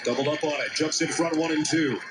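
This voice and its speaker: A man's voice: fairly high pitch, fairly even intonation, a little fast, heavy background noise. A man calling a baseball game as a live play-by-play announcer. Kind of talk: live sports commentary.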